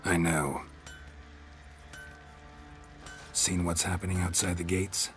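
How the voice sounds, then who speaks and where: An actor playing a gruff, terse monster hunter in a fantasy game, deadpan. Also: male voice, low voice, normal speed, faint music behind, natural voice. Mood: stern. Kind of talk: game cutscene acting.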